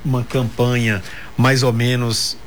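A man's voice: mid-range voice, level tone, unhurried. A man hosting a casual radio talk show, joking with his co-host and reading news items aloud.

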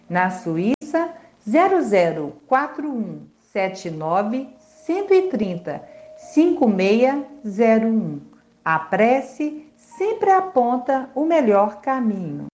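A woman making a radio announcement for a prayer line, inviting listeners in a warm appeal. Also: on the high side, roomy sound.

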